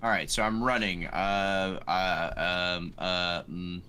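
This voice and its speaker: A man's voice: low-pitched, steady tone, slow pace, bright, forceful tone. A man chatting casually as a player in a tabletop roleplaying game. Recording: clearly noisy background.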